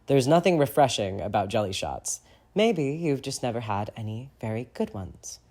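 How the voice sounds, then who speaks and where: A man's voice: mid-range voice, very animated, normal speed, darkish tone. A man voicing a haughty, tipsy teenage boy wizard with a prim, indignant manner.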